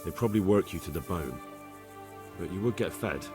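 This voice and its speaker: A man's voice: sits low, lively intonation, fairly quick, over music. An actor playing an earnest, polite young man, a little hesitant, in a medieval video game cutscene. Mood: sympathetic, subdued. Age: young adult.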